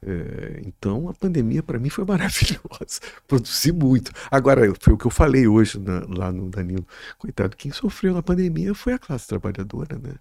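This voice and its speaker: A man's voice: medium pitch, sing-song, moderate pace, gravelly, dark tone, no echo, clean studio sound. A middle-aged man with a Carioca accent, chatting as a guest in a rambling, profane way and repeating his words. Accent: Carioca Brazilian Portuguese. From Rio de Janeiro, Brazil. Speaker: guest, writer and musician. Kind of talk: casual podcast chat.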